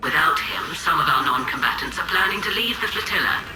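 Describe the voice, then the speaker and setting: A woman's voice: deep voice, sing-song, fairly quick, echoey room, music in the background, low-quality recording. A woman voicing a cold, imperious female alien admiral in a video game, formal and clipped, through a radio filter. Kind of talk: dramatic video game acting.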